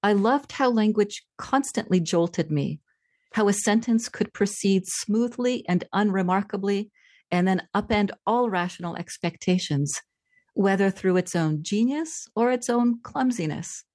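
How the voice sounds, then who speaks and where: A woman author who grew up in Czechoslovakia, reading from her book and then answering interview questions in a thoughtful, measured way. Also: medium pitch, expressive, a little mellow, no echo. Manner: thoughtful, measured, with frequent fillers. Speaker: author and language scientist.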